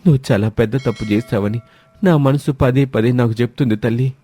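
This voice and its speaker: A man voicing a worried parent who gently and affectionately admonishes a grown daughter in a story. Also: mid-range voice, brisk, lightly acted. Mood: worried, reproachful.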